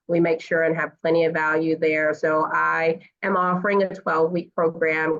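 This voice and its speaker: Lady parent coach, kinda low voice, chatty and earnest, dull lo-fi sound. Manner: earnest, encouraging, with fillers. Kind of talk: conversational interview.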